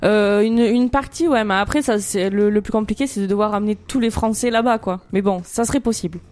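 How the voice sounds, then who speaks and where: A woman chatting casually as a radio co-host, informal and quick to give her opinion. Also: medium pitch, a little mellow. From Portuguese descent. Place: radio studio.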